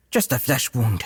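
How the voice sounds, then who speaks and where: A man's voice: mid-range voice, creaky, slight background noise, full character voice. An actor playing a wry, tough male werehorse fighter in an audio drama.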